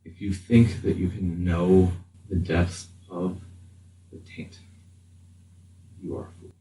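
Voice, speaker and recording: A man playing a grave, stoic, haunted warrior in a tabletop game, speaking in a halting, formal monotone. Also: low voice. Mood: stern. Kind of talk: tabletop roleplay acting. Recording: roomy distant mic, faint hiss.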